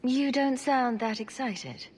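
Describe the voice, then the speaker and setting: A woman playing a calm, diplomatic adventurer in a video game, speaking gently with light teasing. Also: high voice, slow pace, husky, exaggerated voice. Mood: composed. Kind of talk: video game dialogue acting.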